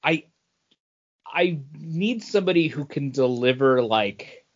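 A man's voice: on the high side, expressive, slow, highs cut off. A man co-hosting a podcast, chatting casually about geek culture and often searching for his words. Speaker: podcast co-host.

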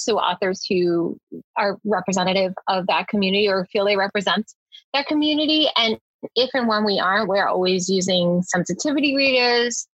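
A woman talks quickly and casually, with frequent fillers. Her voice is a little breathy and husky, close and dry, with slightly dull sound. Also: mid-range voice, fairly bright tone, dry recording. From family from Hyderabad, India. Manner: quick, chatty, lots of "like" fillers. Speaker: children's book publisher. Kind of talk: panel interview answer.

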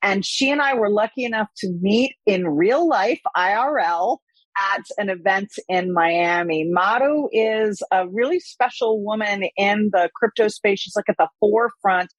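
Chatty woman host, kinda husky, dry close mic. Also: mid-range voice, unhurried, no room sound, muffled recording. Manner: enthusiastic, chatty. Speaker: podcast host. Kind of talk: casual interview.

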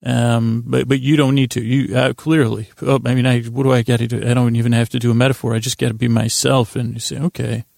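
A man hosting a bedtime podcast with a rambling, halting, self-interrupting improvised monologue, goofy and self-deprecating. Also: mid-range voice, flat delivery, vocal fry, a little mellow, dry recording, clean studio sound. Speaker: podcast host.

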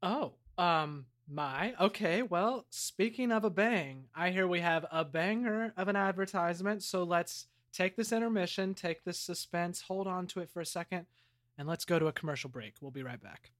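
A man's voice: high-pitched, moderate pace, fairly bright tone. A man hosting a comedy podcast and narrating its audio drama.

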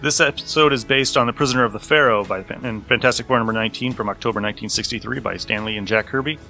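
A male podcast host with a bright, forward voice at middling pitch. He talks casually and in a folksy way over faint music. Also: quick pace, gravelly. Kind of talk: casual scripted introduction and plugs.

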